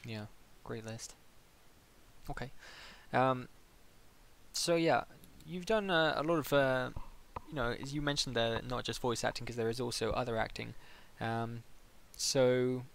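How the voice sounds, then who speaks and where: A man's voice: mid-range voice, a little slow, vocal fry. A man hosting a casual livestreamed interview, putting questions to his guest in a conversational style.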